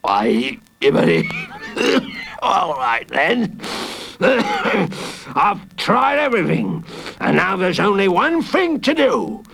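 Gravelly, blustering storybook villain. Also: male voice, sits high, huge pitch swings, a bit bright, voice pushed up, full character voice. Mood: threatening. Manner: blustering, stammering, halting.

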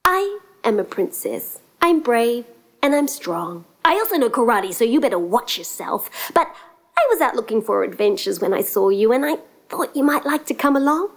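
A woman's voice: on the high side, very animated, moderate pace. A woman voicing a brave young princess who speaks with confidence and a cheeky streak. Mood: proud. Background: plucked guitar music.

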